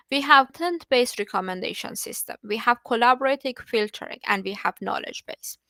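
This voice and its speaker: A woman whose first language is Persian walks a study group through a technical topic in a fairly high voice. She explains patiently, restarting words and pausing on fillers. The sound is dry and close, with no room echo. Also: fairly bright tone. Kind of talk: live online technical lecture.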